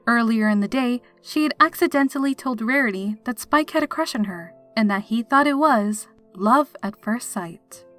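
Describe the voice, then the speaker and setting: A woman's voice: a little high, expressive, normal speed, few pauses, a bit bright. A woman reading a horror story aloud as a scripted, dramatic narrator. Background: background music.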